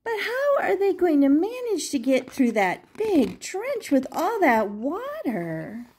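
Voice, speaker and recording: Very slow-paced older woman reading a storybook. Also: high-pitched. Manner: expressive, addresses young listeners with questions and exclamations. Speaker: grandmother reading picture books to children. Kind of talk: animated children's storybook read-aloud.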